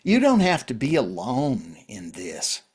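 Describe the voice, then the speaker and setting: A man's voice: mid-range voice, wildly swooping pitch, darkish tone, husky, heavily acted. Man acting a grieving, pleading man, widely swinging voice. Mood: earnest, consoling. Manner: earnest, halting, pleading. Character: grieving, pleading widower, recovering addict on a bridge. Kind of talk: script reading of a stage drama.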